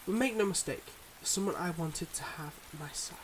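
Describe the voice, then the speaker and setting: Guy acting as solemn devoted mob boss boyfriend, asmr roleplay, slightly high voice, earnest n halting, bit of hiss behind. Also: lightly acted. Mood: tender. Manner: earnest, measured, halting confessions.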